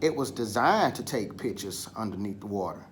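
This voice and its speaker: Animated male storyteller, lively swooping pitch. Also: slight background noise. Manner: dramatic pauses and emphatic, drawn-out repetition. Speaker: storybook reader.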